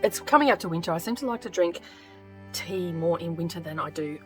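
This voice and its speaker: A woman with a croaky voice chatting casually as she sews, thinking aloud with lots of ums. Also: lowish voice, animated, moderate pace, soft background music. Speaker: craft vlogger. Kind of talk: casual craft vlog chat.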